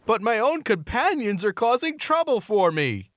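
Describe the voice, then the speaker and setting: A gentle, anxious monk who worries about his quarrelling companions, voiced by a woman narrator. His voice is high and lively in pitch, and it sounds dry and close. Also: moderate pace, no echo. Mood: worried. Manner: worried and reproachful.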